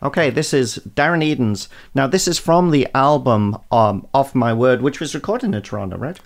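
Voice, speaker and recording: A man's voice: medium pitch, lively intonation, moderate pace, vocal fry. A man hosting a radio interview, asking enthusiastic questions and often searching for his words. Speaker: radio host.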